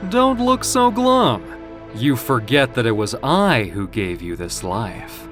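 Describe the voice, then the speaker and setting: Man acting a theatrical sadistic villain, swooping pitch. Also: big pitch swings, takes it slowly, fairly bright tone, soft background music. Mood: mocking. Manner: taunting, theatrical, mock-tender. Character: sadistic gloating male creator villain, theatrical and cruel. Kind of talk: audio drama villain monologue.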